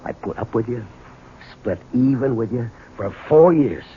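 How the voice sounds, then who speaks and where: An actor playing a bitter, weary gas station owner with a gruff, curt, colloquial manner in a 1970s radio drama. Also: male voice, mid-range voice, measured pace, close to an everyday voice. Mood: angry, confrontational. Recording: tinny, noisy old radio broadcast recording.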